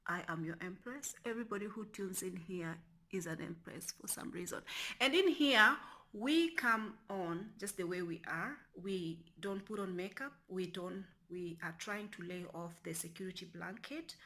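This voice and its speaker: A young woman from Kenya chats loosely to her camera, wandering off mid-sentence and starting over. Her voice is bright and forward, and she often drops to a hushed, near-whispered murmur. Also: normal speed. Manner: rambling, restarts often, lowers to a near whisper. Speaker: vlogger. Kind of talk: casual talking-to-camera vlog.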